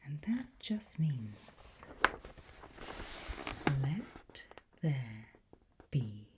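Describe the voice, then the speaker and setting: A woman whispering breathily as a teacher in an ASMR roleplay, gentle, unhurried and encouraging. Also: a bass voice, big pitch swings, heavy background noise. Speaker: ASMR host playing a language teacher.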